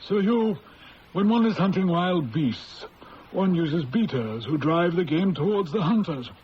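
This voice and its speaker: A high-voiced, shrewd police inspector. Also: male voice, lively intonation, measured pace. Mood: methodical. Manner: crisp, polite, methodical. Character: shrewd male police inspector, patient and methodical. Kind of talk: 1970s radio drama acting. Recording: lo-fi old radio broadcast with hiss.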